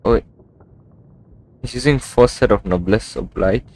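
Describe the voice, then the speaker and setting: A man's voice: on the low side, unhurried, darkish tone, a little hiss or hum. A man streaming a video game, giving casual live commentary as he plays. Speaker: gaming streamer.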